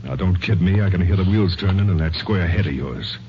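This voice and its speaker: Deep, menacing convict played by a man. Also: fairly quick, natural voice. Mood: threatening, scornful. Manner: tough slangy gangster talk, mocking. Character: menacing, sarcastic convict killer bullying his cellmate. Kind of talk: 1950s radio crime drama acting. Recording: muffled, noisy vintage radio recording.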